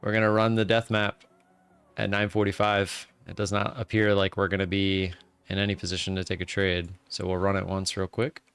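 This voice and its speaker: A man in his late thirties with a fairly low, clean and resonant voice hosts a live stream. He rambles in a relaxed way and reads viewer comments aloud. His talk is full of "uh" fillers and restarted sentences. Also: a little fast, smooth, no echo. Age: 30s.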